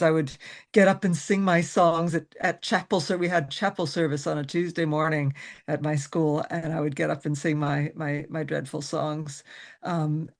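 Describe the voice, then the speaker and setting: A middle-aged woman from the US with an American accent softened by years in Ireland and England, chatting as a guest on a podcast, laughing often and restarting her sentences. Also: low-pitched. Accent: mixed American with Irish and West Country traces. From USA. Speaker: singer-songwriter guest. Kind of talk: casual interview chat.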